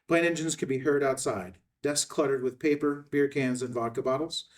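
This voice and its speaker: A man's voice: mid-range voice, normal speed. Man narrating a script, slightly distant mic. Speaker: stage directions narrator. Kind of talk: scripted narration at a table read.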